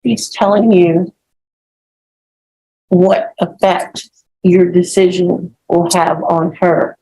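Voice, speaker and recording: A woman acting as defense attorney in court, objecting in a brisk, firm way. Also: fairly low pitch, steady tone, warm tone, rough recording.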